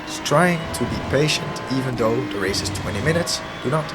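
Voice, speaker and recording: A hoarse man who is losing his voice narrates his own sim racing footage in an analytic, self-deprecating way. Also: medium pitch, lively intonation, a little fast. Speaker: sim racing video host. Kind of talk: commentary over gameplay footage. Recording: voice-over with music underneath. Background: background music.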